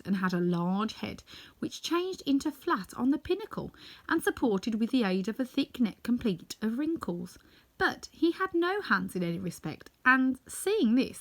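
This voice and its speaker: A woman reading a children's story aloud as scripted, expressive narration. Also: fairly high pitch. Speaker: storybook reader.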